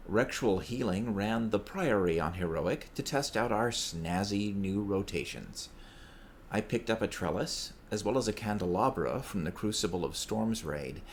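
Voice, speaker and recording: Lowish-voiced man reading a report, some noise. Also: lively intonation, normal speed. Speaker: podcast listener sending in a report. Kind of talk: scripted recorded report. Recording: clear background noise.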